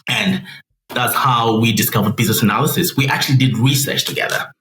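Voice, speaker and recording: A man in his forties, a guest telling his career story in an animated way and acting out quoted voices. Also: medium pitch, fairly quick, vocal fry. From Zimbabwe. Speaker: guest business analyst. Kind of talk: interview storytelling. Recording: roomy with faint noise.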